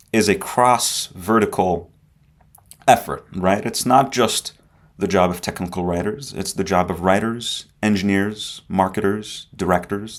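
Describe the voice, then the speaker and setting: A man with a low, deep voice presents a conference talk. He keeps it conversational and often tags his points with a quick "right?" to the audience. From Egypt. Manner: conversational, often adds tag questions. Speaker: conference speaker.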